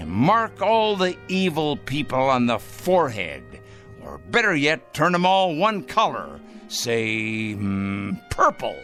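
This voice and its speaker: Excitable paranoid crank, wildly swooping voice. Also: male voice, medium pitch, slow, soft background music, exaggerated voice. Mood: gleeful scheming. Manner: fussy, excitable, theatrical ranting. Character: paranoid, self-righteous crank obsessed with punishing evil people. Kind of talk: dramatic radio play acting.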